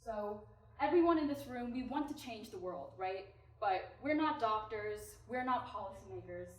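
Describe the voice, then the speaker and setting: Woman from California pitching in an echoey room. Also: expressive, normal speed. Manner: engaging, addresses the audience directly. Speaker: team presenter. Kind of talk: prepared pitch presentation. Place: presentation room. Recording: echoey room, mic far off.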